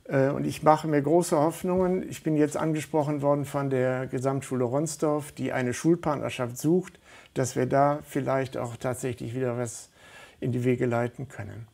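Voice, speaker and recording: A man's voice: medium pitch, steady tone, normal speed, vocal fry, a little mellow. A middle-aged man speaking as a guest in a studio interview, earnest and hesitant, with many fillers. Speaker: studio guest, volunteer organizer.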